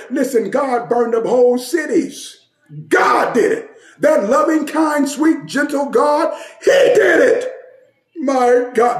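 A man preaching a fiery sermon, often shouting and calling out "Huh?" to the congregation. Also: very high-pitched, reverberant.

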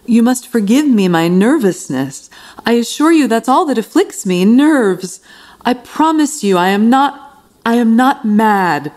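A woman's voice: a little high, animated, a little slow, a little mellow. An actress playing a genteel, nervous madwoman in a gothic radio drama, formal, fussy and insistent. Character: nervous, prim madwoman, genteel and over-polite.

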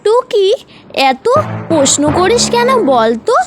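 A woman's voice: very high-pitched, sing-song, unhurried, over music, voice pushed up, heavily acted. A woman voicing a worried, protective mother bird in a cartoon, pleading and firmly cautioning. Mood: protective, scolding. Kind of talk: cartoon character dialogue.

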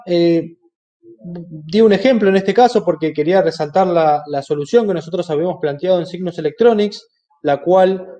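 A middle-aged man with an Argentine Spanish accent explaining products in a webinar, in a halting, explanatory way. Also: on the high side, mellow, lo-fi sound. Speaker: webinar presenter. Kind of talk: product presentation over slides.

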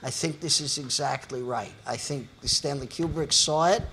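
A man's voice: mid-range voice, moderate pace, sharp tone, slight background noise. An older man moderating a panel discussion in a lecture hall, speaking in long, articulate, lecturing sentences.

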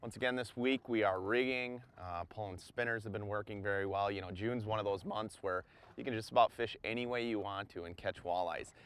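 A man's voice: lowish voice, steady tone, a little fast, fairly bright tone, smooth. A man in his forties, a fishing guide, giving an upbeat, chatty report to camera from an open boat on a lake, recorded on a lapel mic. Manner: upbeat, chatty, explaining as he fishes. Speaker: fishing guide and show host.

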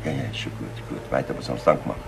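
An actor playing a brusque, persistent Munich police inspector with a Bavarian accent in a German radio crime drama. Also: male voice, extremely low pitch, vocal fry, heavily acted. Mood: stern, controlled. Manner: blunt, interrogating, deliberate emphasis. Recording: noisy 1970s radio play recording.

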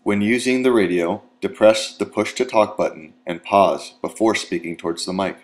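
Low-voiced male instructional narrator. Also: normal speed. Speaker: training video narrator.

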